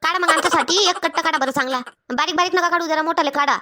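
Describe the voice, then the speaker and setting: Woman voicing a very shrill, bossy cartoon village shopper. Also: racing, sharp tone. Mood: bargaining. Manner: blunt, demanding, colloquial. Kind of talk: Marathi comedy cartoon dialogue.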